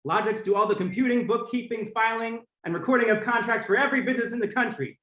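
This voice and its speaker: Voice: medium pitch, fast talker, fairly bright tone, close to an everyday voice. An actor playing a blustering company boss, a married man, in old-time radio drama style, in a roomy space. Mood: dismissive, emphatic.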